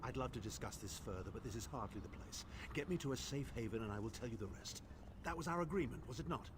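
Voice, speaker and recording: An actor playing a haughty aristocratic male mage with a dry, condescending manner, over steady game noise. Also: mid-range voice, fast, close to an everyday voice. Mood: sardonic.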